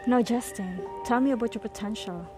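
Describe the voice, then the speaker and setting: A woman's voice: a little high, a little fast, close to an everyday voice. A woman playing a composed investigator in an amateur radio drama, asking formal, probing questions. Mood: formal, brisk. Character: composed female investigator questioning gifted students. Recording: music behind the voice.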